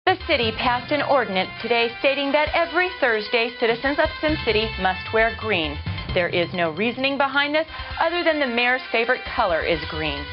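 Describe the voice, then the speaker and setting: An actress playing a polished, flippant American TV news anchor in a mock game newscast, with a deadpan delivery. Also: female voice, a little high, fairly quick. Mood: deadpan, brisk. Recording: dull lo-fi audio under music. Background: music.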